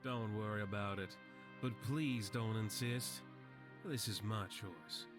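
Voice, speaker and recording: A man as a low, weary old slum veteran. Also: takes it slowly. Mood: gentle, resigned. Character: frail, resigned, sickly old male slum veteran. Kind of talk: video game voice acting. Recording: game music behind the voice.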